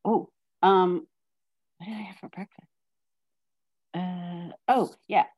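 Low-voiced joking woman from Texas. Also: steady tone, slow, muffled recording. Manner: joking, self-deprecating storyteller. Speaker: podcast guest. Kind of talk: casual chat.

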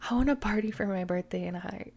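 A woman co-hosting a podcast, chatting in a relaxed, agreeable way and laughing easily. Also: mid-range voice, fast talker, gravelly. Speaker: podcast co-host. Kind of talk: casual chat.

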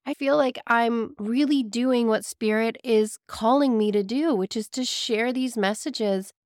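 Hoarse, gentle woman podcast host. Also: a little high, unhurried, no echo, studio quality. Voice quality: raspy, weak voice. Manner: earnest, gently reassuring, addresses listeners fondly. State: sick, hoarse. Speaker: podcast host and psychic medium. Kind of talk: casual spiritual podcast monologue.

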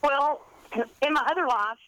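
A woman in her fifties telling stories in a chatty, animated, rambling and self-deprecating way, as an interview guest. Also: sits high, normal speed, dry recording, poor audio. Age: 50s. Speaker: guest author and college English teacher.

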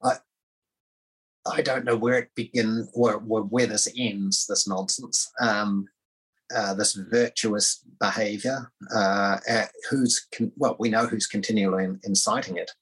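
Very husky man, blunt and dismissive. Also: fairly low pitch, fairly bright tone, poor audio. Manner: blunt, dismissive, halting with fillers. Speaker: co-host. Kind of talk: opinionated talk show commentary.

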